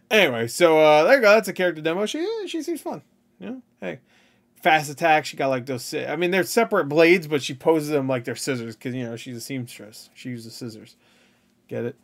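A man in his thirties giving off-the-cuff reaction commentary, rambling and joking with crude slang. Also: mid-range voice. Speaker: reaction video host. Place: small indoor room at home.

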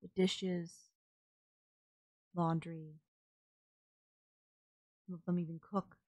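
A woman voicing a polite, puzzled, emotionless female servant robot in an audio roleplay, with formal, halting, literal phrasing. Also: fairly low pitch, little pitch movement, dark tone, dry recording, dull audio. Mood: flat, matter-of-fact. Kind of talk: scripted audio roleplay, ASMR.

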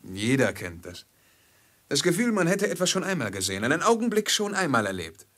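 An actor playing a dutiful, level-headed servant, measured and deferential with a dry touch, in a 1950s radio play. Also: male voice, a little high, sing-song, a bit bright. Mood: sober, dry. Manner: measured, deferential, poetic phrasing. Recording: 1950s mono radio recording with music.

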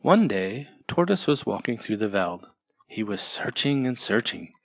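Slow, expressive storybook narrator. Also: medium pitch, dry recording. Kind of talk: scripted children's storybook narration.

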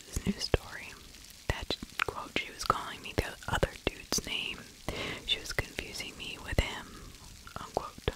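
A middle-aged woman narrates in a steady ASMR whisper, with no voice in it, only breath. She sits close to the microphone and talks in a conversational, opinionated way. Pauses break up her true crime storytelling. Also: rough recording. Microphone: close microphone with furry windscreen.

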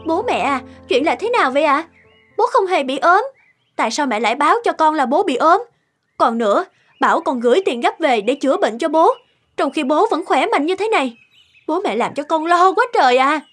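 A woman's voice: high-pitched, lively intonation, fairly quick, fairly bright tone, faint music behind. A woman playing an anxious, insistent middle-aged daughter and mother in a cartoon, fretful and questioning. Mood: worried, reproachful. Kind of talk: cartoon dialogue acting.

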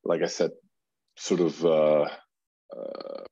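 Deep, husky-voiced man. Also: slow pace, mellow, no room sound, highs cut off. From Colombia. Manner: rambling, many fillers and restarts. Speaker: furniture designer, guest. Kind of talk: casual interview conversation.

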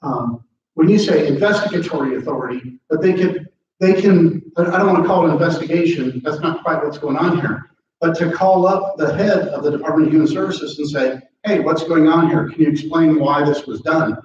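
A man's voice: fairly high pitch, brisk. A middle-aged American man, a state legislator, talking casually to a meeting and rambling with many fillers and restarts. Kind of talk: casual talk with audience questions. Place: large meeting room. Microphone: far camera, no mic. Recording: echoey room, distant and dull.

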